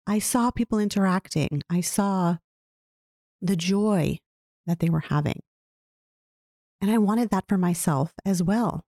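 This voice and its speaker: A woman talking earnestly and reflectively about her own experiences, often putting questions to the listener. She describes her own voice as low. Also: measured pace, long pauses, warm tone, no echo, studio quality. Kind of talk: reflective personal talk.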